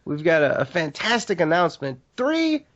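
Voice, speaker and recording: Stuffy-nosed guy rambling on a gaming podcast, kinda high voice, muffled stream audio. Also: very animated, moderate pace, a bit bright. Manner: rambling, joking, many fillers. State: congested from allergies. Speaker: podcast co-host. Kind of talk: casual podcast chat.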